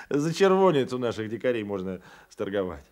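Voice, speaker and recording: Actor as a coy, folksy fur dealer, swooping intonation. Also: male voice, mid-range voice, big pitch swings, moderate pace, a little mellow, natural voice. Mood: coy, hedging. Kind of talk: Soviet radio drama acting.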